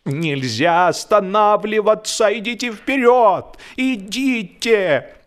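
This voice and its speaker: A man voicing an exasperated gentleman boater in a comic audiobook, pleading loudly with strained, emphatic delivery. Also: sits high, expressive, takes it slowly, pitched above the performer's own voice, full character voice. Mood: frantic.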